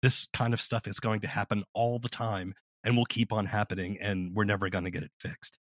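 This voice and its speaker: Low-voiced man hosting a podcast chat. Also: level tone, fairly quick, a bit bright, no echo, studio quality. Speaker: interviewer. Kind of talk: conversational podcast interview.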